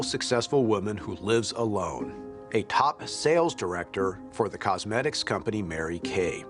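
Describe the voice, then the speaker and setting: A man speaking as the host and investigator of a documentary, narrating and asking questions. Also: on the low side.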